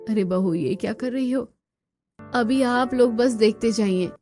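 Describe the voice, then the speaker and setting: A woman's voice: a little high, a little slow, dark tone, faint music behind. Woman acting a theatrical cartoon family woman. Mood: assured. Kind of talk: Hindi cartoon drama dubbing.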